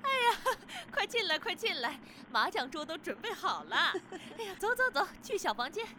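A woman's voice: very high voice, very animated, moderate pace, sharp tone, a little hiss or hum, heavily acted. A woman playing a bustling, sociable mother in an audio drama. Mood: cheerful, welcoming. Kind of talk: Chinese audio drama acting.

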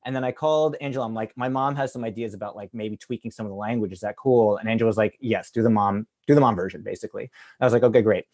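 A man's voice: lowish voice, fairly even intonation, rapid-fire, a little mellow. Guy telling a story on a panel, super rambly, says like a lot. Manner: rambling, heavy use of "like". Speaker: actor on a panel. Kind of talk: casual storytelling.

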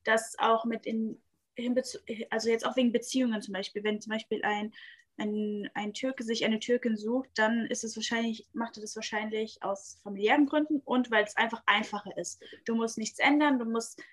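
A young woman with a fairly high voice chats casually in a group. She grew up in Germany with Spanish and Italian as first languages, talks in youth slang, acts out little quotes and keeps checking that the others follow her. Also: fairly even intonation, normal speed. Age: young adult. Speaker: participant in a group talk.

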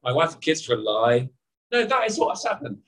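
A man in his forties preaches with a bright, lifting voice that swings widely up and down. He talks to the crowd conversationally, tossing out rhetorical questions. The sermon is given outdoors in a car park. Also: a little high, very animated, brisk. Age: 40s.

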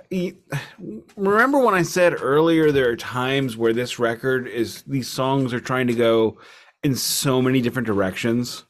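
Wry man in casual music talk, medium pitch. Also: expressive, measured pace. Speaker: album review podcast co-host.

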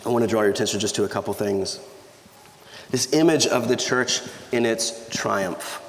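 A man in his thirties preaches to his congregation with a somewhat low, slightly scratchy voice worn by allergies. He talks in a loose, conversational way, joking and restarting his sentences. The sound carries a long church reverb with faint room ambience behind it. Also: moderate pace. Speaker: pastor. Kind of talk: sermon. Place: church sanctuary. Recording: echoey church hall with reverb.

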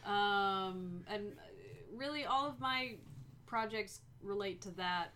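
A woman's voice: mid-range voice, slow pace, pushed and bright, a little hiss or hum. A woman co-hosting a casual chat, rambling with many ums as she explains things in detail.

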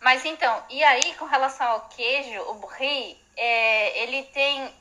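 Woman, high voice, bright tone, chatty voice message. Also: unhurried, a little hiss or hum, low-quality recording. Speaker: listener sending a voice message.

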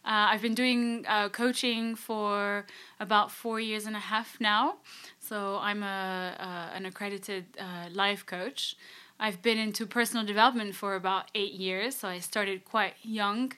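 A woman's voice: medium pitch, steady tone, a little slow, sharp tone, smooth. A young woman from France, a life coach, chatting casually as an interview guest with many fillers and quoted thoughts.

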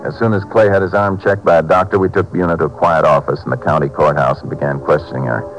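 An actor playing a steady, businesslike Texas Ranger in a 1950s radio police drama, clipped and matter-of-fact. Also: male voice, very deep voice, fast talker, dull audio, soft background music. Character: steady, businesslike male Texas Ranger narrating his case. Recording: old 1950s radio recording.

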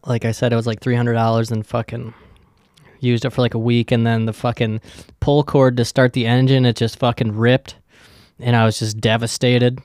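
A man's voice: medium pitch, flat delivery, moderate pace, a little mellow, dry recording, clean studio sound. A young man hosting his own podcast, talking in a loose, joking and rambling way, with lots of swearing. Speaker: podcast host. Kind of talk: casual rambling monologue.

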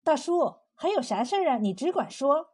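A woman's voice: high voice, very animated, moderate pace, darkish tone. A woman storyteller voicing a kind, outspoken village wife who speaks in earnest exclamations. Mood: eager, reassuring.

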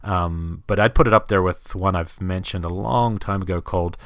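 A man from New Zealand with a deep voice hosts a casual film podcast. He thinks aloud in a rambling, halting way. The sound is very dry, with no room echo. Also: fairly bright tone, smooth.

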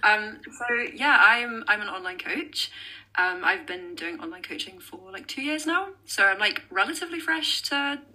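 Woman from England, podcast guest, casual chat, husky, sharp bright tone, many "like" fillers. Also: medium pitch, lively intonation, normal speed, low-quality recording. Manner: chatty, peppered with "like" fillers. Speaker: guest, online fitness coach.

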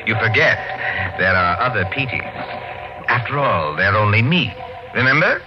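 An actor playing a cool, mocking ventriloquist in an old radio thriller, taunting with measured menace. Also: male voice, on the low side, lively intonation, natural voice. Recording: muffled old radio, music behind.

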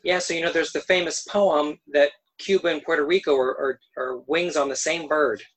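A man's voice: fairly high pitch, fairly even intonation, normal speed, a bit bright, poor audio. A man hosting a podcast interview, asking questions with frequent restarts and repeated words. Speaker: podcast host.

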